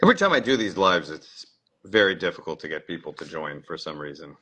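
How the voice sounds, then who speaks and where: A man's voice: low voice, sing-song, fast talker, sharp tone, breathy edge. A man hosting a casual livestream interview, chatty and full of fillers, often repeating his words. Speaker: livestream host.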